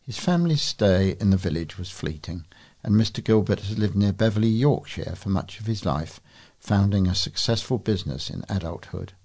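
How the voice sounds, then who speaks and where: Deep, husky male newsreader. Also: animated, moderate pace, darkish tone. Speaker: volunteer newsreader for a local talking newspaper. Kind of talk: scripted news reading.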